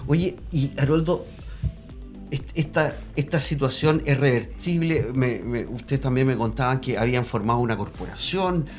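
A man's voice: mid-range voice, moderate pace, soft background music. A man hosting a talk show, speaking hesitantly with many fillers. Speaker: host.

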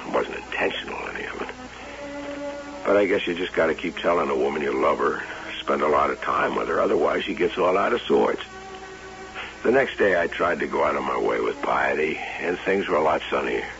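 An actor playing an obsessive, excitable carnival showman in an old-time radio drama, by turns musing and defensive. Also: a bass voice, fairly quick, vocal fry, soft background music, full character voice. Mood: musing, rueful. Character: obsessive carnival showman and inventor, smitten with his wax doll. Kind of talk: old-time radio drama acting with first-person narration. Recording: muffled, hissy old radio recording.

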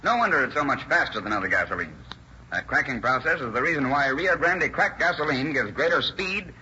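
An enthusiastic chief chemist in an old radio ad sketch. His voice is medium in pitch and piercingly bright, with emphatic explanations. The recording is muffled. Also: expressive, fairly quick. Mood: earnest, pleased. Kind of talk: 1930s radio advertising sketch acting. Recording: dull old radio recording, faint noise.

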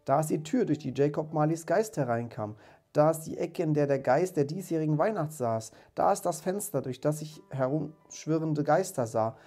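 A man's voice: medium pitch, moderate pace, a little mellow, faint music behind, close to an everyday voice. A man voicing a reformed old miser from a storybook, exclaiming with great emotion and emphasis. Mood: giddy joy. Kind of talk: dramatised storybook reading.

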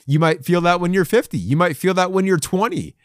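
A man's voice: high-pitched, animated, fairly quick, no echo, studio quality. A man in his forties giving a folksy, encouraging sermon to men, with playful asides and chuckles. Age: 40s. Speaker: men's devotional speaker. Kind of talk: conversational podcast sermon.

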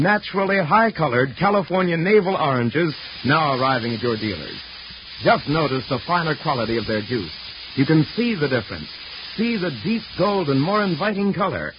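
A man working as a 1940s radio announcer, reading a sponsor's advertisement with polished, emphatic salesmanship. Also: fairly high pitch. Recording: muffled 1940 radio broadcast, faint noise.

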